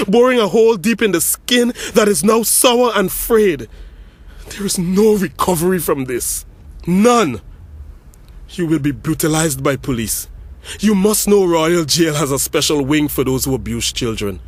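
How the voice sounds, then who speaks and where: An actor playing a grieving brother who gives an intense, accusing eulogy, swinging from hushed menace to shouts. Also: male voice, on the high side. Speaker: eulogy speaker at a funeral. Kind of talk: dramatic audio story monologue.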